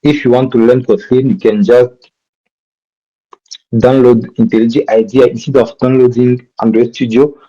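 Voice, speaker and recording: A man's voice: mid-range voice, fairly even intonation, normal speed, warm tone, muffled recording. A man from DR Congo with a French accent, teaching a live coding workshop and often stopping to correct himself mid-sentence. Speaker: workshop instructor.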